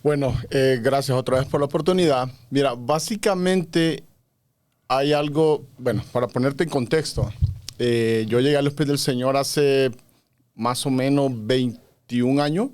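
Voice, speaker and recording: A man's voice: medium pitch, measured pace, a little mellow. Earnest man explaining his views. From Honduras. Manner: earnest, explains at length with many fillers. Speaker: podcast guest. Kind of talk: conversational interview.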